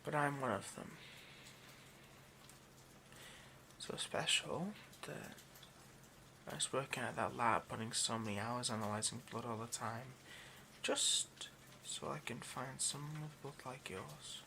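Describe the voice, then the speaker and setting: A man plays an obsessive, seductive male vampire in an ASMR roleplay. His mid-pitched voice is slow and creaky, with halting, intimate murmurs that slip into breathy whispers. Noticeable noise sits behind the voice. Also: sharp tone. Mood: confessing, intense.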